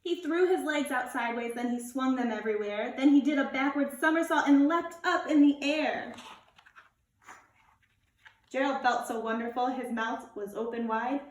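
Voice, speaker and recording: A woman's voice: high voice, normal speed. Woman reading a storybook, echoey and distant. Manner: animated, acts out character lines. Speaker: elementary school teacher reading to pupils. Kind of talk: expressive children's storybook read-aloud. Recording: roomy echo, distant microphone.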